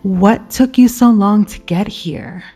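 An actress playing a seductive, clingy lover in an audio drama, speaking in a sultry, coaxing, husky voice. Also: female voice, medium pitch, slow, dark tone, soft background music. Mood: playful, needy.

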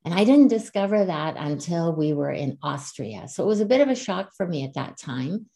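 Guest, woman, 60s, Czech-born, low register, earnest and reflective, frequent restarts. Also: sing-song, moderate pace, creaky, warm tone. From Czech Republic. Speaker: podcast guest. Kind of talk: conversational interview. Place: Calgary, Canada.

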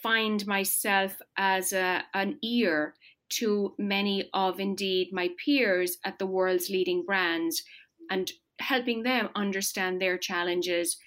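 A middle-aged woman from Ireland, a marketing executive answering interview questions in a measured, articulate way. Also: mid-range voice, fairly even intonation, a bit bright. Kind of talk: podcast interview.

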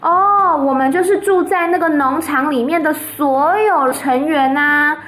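A woman's voice: high-pitched, unhurried, slight background noise, pitched above the performer's own voice. Woman doing a chirpy little farm girl. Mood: eager. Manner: bright, eager, matter-of-fact.

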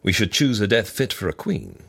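An actor playing a prim, florid and droll fixer in ancient Egypt, in an audio drama. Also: male voice, fairly low pitch, big pitch swings, brisk, creaky, fairly bright tone, breathy edge, full character voice. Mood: composed.

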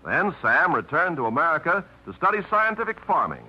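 A man's voice: a little high, sing-song, brisk, slightly rough. A man announcing a 1950s radio drama in an earnest, declamatory style, on a dull old radio recording.

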